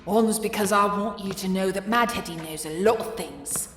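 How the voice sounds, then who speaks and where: A woman's voice: mid-range voice, normal speed, faint music behind, natural voice. A woman voicing an eccentric, menacing, ancient street crone in a comic dub, rambling and cajoling with old-fashioned endearments. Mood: rambling, cryptic. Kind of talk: comic dub character acting.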